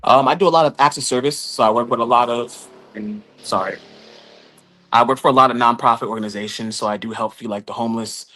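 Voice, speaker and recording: A man from Los Angeles answering dating questions on a live show, earnest and full of little pauses. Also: mid-range voice, pushed and bright. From Los Angeles, California. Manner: earnest, many um fillers. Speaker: contestant. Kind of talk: casual live chat.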